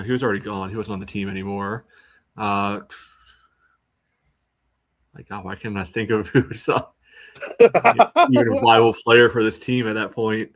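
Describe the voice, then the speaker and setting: A man with a slightly low voice chats as co-host of a sports podcast. He is conversational and wry, working through his thoughts aloud as he goes. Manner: thinks out loud, wry. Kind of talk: casual podcast chat about soccer.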